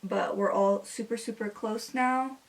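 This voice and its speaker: A relaxed young woman in her twenties, a native Spanish speaker, chats easily about her life while she does her makeup at home. She fills her sentences with "like", and her voice sits at an ordinary middle pitch. The camera mic sits a little away from her. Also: unhurried, recorded from a distance, poor audio. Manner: chatty, relaxed, many "like" fillers. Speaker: beauty vlogger. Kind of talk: casual chat while doing makeup.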